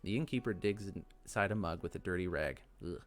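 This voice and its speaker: A man with a fairly low voice doing casual let's play commentary and reading game text aloud. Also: a little fast, faint music behind.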